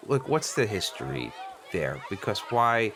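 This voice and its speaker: A precocious eight-year-old boy, played by a grown man in a low voice, over noisy ambience. He is earnest and pedantic, stumbling into long run-on questions and pressing on with them in an improvised comedy. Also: sing-song, unhurried. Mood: baffled, insistent. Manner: hesitant stammers, rambling run-on questions. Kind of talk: improvised comedy radio play.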